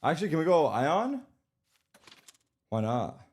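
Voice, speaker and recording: A man's voice: fairly high pitch, very animated, unhurried. A young man streaming, chatting casually with friends while setting up a game, swearing often. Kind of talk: casual chat while gaming.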